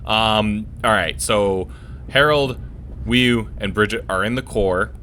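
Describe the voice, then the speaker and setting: A man's voice: lowish voice, takes it slowly, bright, forceful tone, slight background noise. A man hosting a casual let's play, talking in a folksy, jokey way into a close mic. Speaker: host of gaming videos.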